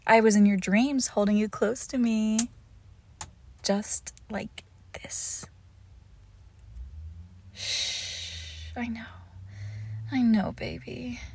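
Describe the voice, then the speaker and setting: A woman playing a lovesick, possessive young witch in an intimate roleplay audio, cooing haltingly and tenderly with a creepy edge, often dropping into whispers. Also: fairly high pitch, no echo. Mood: adoring, obsessive. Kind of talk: intimate roleplay audio acting, close to the listener.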